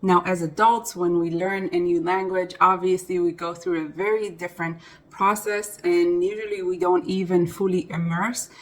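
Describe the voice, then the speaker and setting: A woman who is a native Hebrew speaker, explaining things as a language teacher in a deliberate, emphatic way. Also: lowish voice, steady tone. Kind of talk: explanatory talk to camera.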